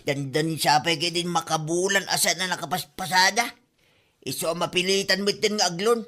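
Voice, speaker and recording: A man's voice: high-pitched, steady tone, normal speed, sharp tone. A man playing a defensive husband who retorts to his wife in an Ilocano comedy drama, with an Ilocano accent.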